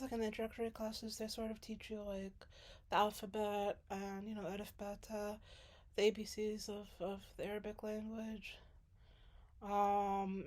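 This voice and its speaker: A young woman from Sudan talks casually about her studies. She rambles, restarts her sentences often and leans on fillers. Her voice sits at a middle pitch. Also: darkish tone. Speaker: graduate student. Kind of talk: casual chat.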